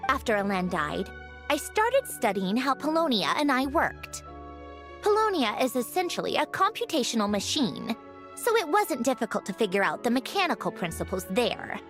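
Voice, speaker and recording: A woman's voice: fairly high pitch, big pitch swings, normal speed, a bit bright. A woman playing a proud, prickly mechanical puppet who explains things precisely and haughtily in a video game cutscene. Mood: proud, reflective. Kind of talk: scripted game cutscene acting. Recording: music behind the voice. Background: game soundtrack music.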